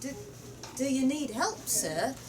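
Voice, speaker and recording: A woman's voice: sits high, expressive, slow pace, pitched above the performer's own voice. A woman voicing a polite, modest farm boy in a storybook, with a hesitant manner. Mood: timid. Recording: distant mic in noisy room.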